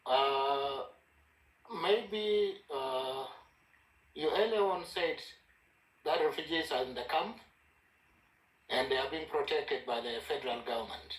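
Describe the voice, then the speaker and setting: A man's voice: fairly high pitch, takes it slowly, long pauses, echoey room, poor audio. A man pressing a question again and again in a heated online debate, speaking formally. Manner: persistent, formal, repeats himself. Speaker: panel participant.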